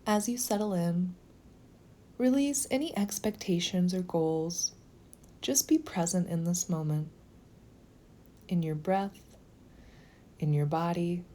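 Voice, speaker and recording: A woman leading a guided meditation in a slow, gentle, soothing manner, with phrases sometimes softening toward a whisper. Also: fairly low pitch, a little hiss or hum.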